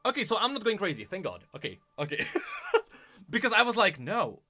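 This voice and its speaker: A man's voice: high voice, sing-song, moderate pace, sharp tone. A man doing casual livestream commentary on gaming for his chat, speaking emphatically and repeating phrases for stress. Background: background music.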